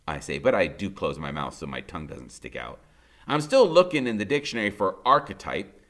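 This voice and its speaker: A man reading a children's novel aloud in the first person, like a teacher, expressive and colloquial. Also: medium pitch.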